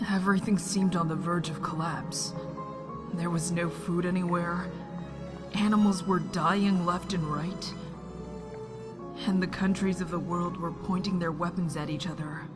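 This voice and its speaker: Somber young survivor played by a woman, lowish voice, unhurried and subdued over music. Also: fairly even intonation, natural voice. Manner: subdued, hesitant. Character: reflective, somber young survivor confiding her past. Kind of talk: amateur sci-fi drama acting.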